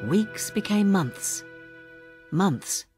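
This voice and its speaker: Slow, animated woman storyteller. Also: mid-range voice, faint music behind. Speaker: storybook narrator. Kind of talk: expressive children's read-along narration.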